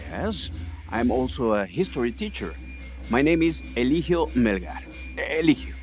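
A man playing an earnest shaman and history teacher in an audio drama, with a measured, mystical manner. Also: medium pitch, very animated, a little mellow, faint music behind. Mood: friendly, proud.

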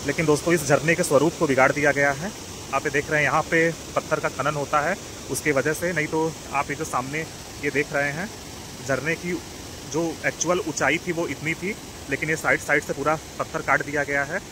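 A man with a slightly higher voice narrates a travel vlog as he walks outdoors, talking to his viewers like friends in an earnest, concerned tone. It is recorded on a handheld phone, and the roar of rushing water stays clearly audible under his voice. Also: steady tone. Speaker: travel vlogger. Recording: outdoor phone audio with loud waterfall noise.

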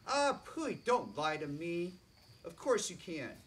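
Brief: a tiny bossy bug queen, shrill and slow, scolding , voiced by a man, distant mic with faint crickets. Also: big pitch swings, a little hiss or hum, heavily acted. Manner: shrill, sharp and haughty, lecturing.

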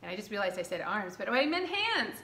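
A woman's voice: medium pitch, very animated, brisk, sharp tone. A middle-aged woman leading a storytime for toddlers, speaking in simple, short, repeated phrases as a children's librarian. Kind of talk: children's storytime, reading aloud and counting along. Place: small indoor room with curtain backdrop. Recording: echoey room with clear background noise.

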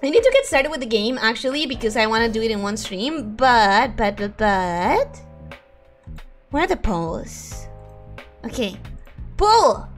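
Young woman, hoarse sick voice, high and bouncy pitch, giggly, chatty streamer, faint game music behind. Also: big pitch swings, a little slow, long pauses. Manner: giggly, reads chat aloud and greets viewers. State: hoarse, ragged voice from sickness. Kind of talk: casual live-stream chat.

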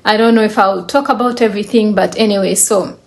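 A woman's voice: mid-range voice, fairly even intonation, quick pace. Earnest young woman from Uganda. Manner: earnest, with frequent "uh" hesitations. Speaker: guest. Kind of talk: personal story telling. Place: indoors, living room.

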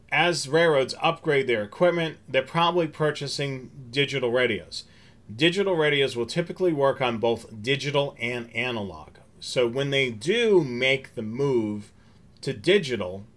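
Middle-aged american guy, mid-pitched voice, chatting to his viewers, practical step by step explaining. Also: fairly bright tone. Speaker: host of a railfan show. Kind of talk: casual explanatory vlog talk to viewers. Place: small home office with bookshelves and curtains, indoors.

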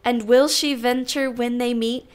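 A genteel aunt in a radio play, acted by a woman, with a fairly high voice. She questions herself in old-fashioned phrases, wry and easily flustered as she wavers over romance. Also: steady tone, unhurried, clear, resonant tone, natural voice. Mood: wavering. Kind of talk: radio play acting, musing monologue.

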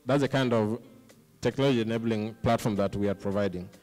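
A man's voice: lowish voice, fairly quick, a little mellow, faint music behind. A middle-aged man speaking as a panelist on a conference stage into a handheld microphone. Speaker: panelist, social entrepreneur. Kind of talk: panel discussion remarks. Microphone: handheld microphone close to mouth. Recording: reverberant hall sound.

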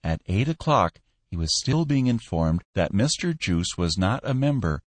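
A man narrating a story as an audiobook, with expressive, polished reading. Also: lowish voice, moderate pace, no room sound.

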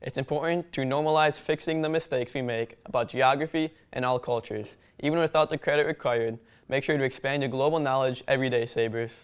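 Clear-voiced teen boy news anchor. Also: mid-range voice, fairly even intonation, fairly quick, hardly any pauses. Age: teens.

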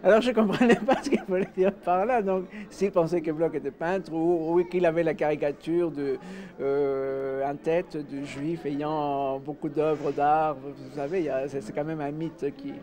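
A man's voice: on the high side, fairly even intonation, a little slow, mellow. A middle-aged man from Mexico, a native Spanish speaker, giving a reflective interview answer indoors. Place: indoors, exhibition room.

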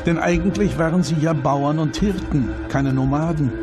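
Male narrator, fairly high voice. Deliberate, dramatic documentary storytelling over prominent, loud music. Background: loud background music.

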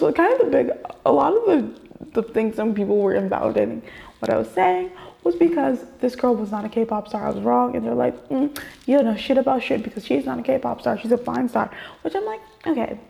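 Young woman, story-time vlogger; rambling, filler-heavy, gravelly fry throughout, sounding a bit under the weather. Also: on the high side, expressive, fairly quick, dark tone. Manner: rambling, chatty, many fillers and restarts. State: slightly off voice after a vaccine shot. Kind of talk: casual story time vlog. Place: bedroom gaming setup, indoors.

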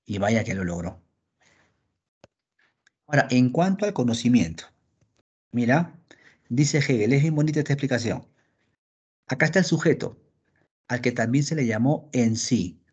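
A husky-voiced man teaches philosophy to his students over a video call. He keeps it lively and acts out their questions as he goes. The sound is dull and lo-fi. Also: medium pitch, moderate pace, long pauses. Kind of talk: online class lecture.

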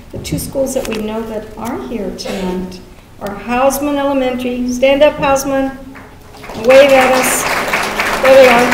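Middle-aged female host at a podium, highish voice, reverberant hall with noisy crowd. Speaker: event host. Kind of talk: welcoming public address to an audience. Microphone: gooseneck podium microphone. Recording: echoey hall, crowd noise.

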